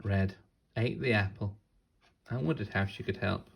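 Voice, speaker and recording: A man narrating a children's storybook like a teacher reading aloud, deliberately and with dramatic pauses. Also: fairly low pitch, creaky, slight background noise.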